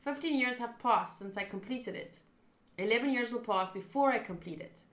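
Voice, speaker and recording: A woman streaming a visual novel, mixing expressive, sweary commentary with reading the text aloud. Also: on the high side, normal speed. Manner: expressive, sweary, reacts out loud. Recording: roomy echo.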